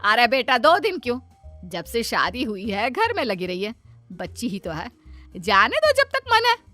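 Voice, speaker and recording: A bossy but indulgent middle-aged mother-in-law from a family cartoon, acted by a woman. The voice is bright and swings widely in pitch as it scolds and coaxes. Music plays behind it. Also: huge pitch swings, measured pace, exaggerated voice. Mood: firm, indulgent. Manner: emphatic, scolding and coaxing. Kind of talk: Hindi cartoon family-drama voice acting.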